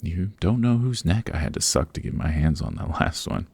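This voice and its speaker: A man playing a playful, theatrical vampire over a century old in a chatty ASMR roleplay, with a gravelly, husky voice and rambling asides. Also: low voice, lively intonation, normal speed, darkish tone, no echo, exaggerated voice. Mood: playful, hospitable. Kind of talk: ASMR roleplay, close and conversational. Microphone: close, dry microphone.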